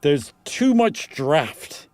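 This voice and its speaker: Pompous, drawn-out gentleman. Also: on the high side, sing-song, dry recording, heavily acted. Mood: indignant, commanding. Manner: grandiose, drawn-out delivery. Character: pompous, theatrical, indignant gentleman ghost hunter.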